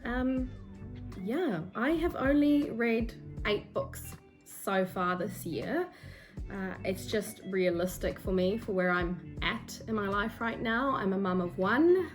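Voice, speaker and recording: Young South African woman, Afrikaans first language, chatty and self-deprecating, mid-pitched voice. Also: expressive, slow, faint music behind. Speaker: book vlogger. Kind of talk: casual chat to camera. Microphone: phone or camera mic at desk.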